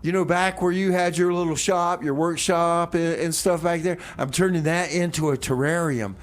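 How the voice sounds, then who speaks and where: A man's voice: fairly high pitch, fairly even intonation, normal speed, close to an everyday voice. A man playing an eager, stubborn doomsday survivor and radio host in a casual comedy show, rambling and repeating himself. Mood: eager, insistent.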